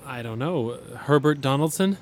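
A man's voice: medium pitch, expressive, moderate pace, a little hiss or hum, close to an everyday voice. A man playing an earnest, awkward, squeamish meal delivery helper in a comedic audio drama, hesitant and literal-minded. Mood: earnest, flustered.

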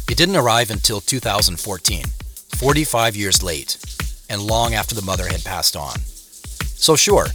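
A man's voice: fairly low pitch, natural voice. A man playing an obsessive professional puzzling over a mystery, narrating in a confiding, conversational way as if reasoning aloud. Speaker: narrator and lead character. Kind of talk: first-person audio drama narration, conversational.

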